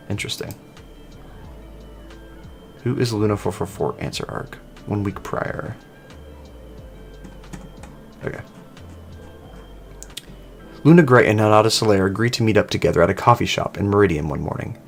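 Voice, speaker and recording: A man hosting a livestream, reading web fiction aloud with casual commentary, thinking out loud as he goes. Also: on the low side, expressive, unhurried, vocal fry, pauses often, faint music behind. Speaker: livestream host.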